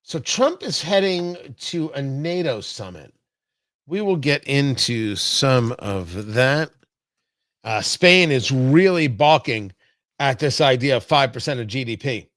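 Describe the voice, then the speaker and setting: A man's voice: medium pitch, lively intonation, slow pace, fairly bright tone, dry recording. A man hosting a conversational talk show, speaking in an emphatic, punchy way from a studio.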